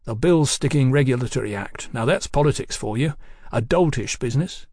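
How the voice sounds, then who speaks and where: A man's voice: medium pitch, lively intonation, darkish tone, slightly rough, no echo, studio quality. A man voicing an easy-going, talkative working-class bill-sticking boss in an audiobook, with folksy, colloquial phrasing. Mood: chatty, unruffled. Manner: folksy, unhurried, colloquial, garrulous.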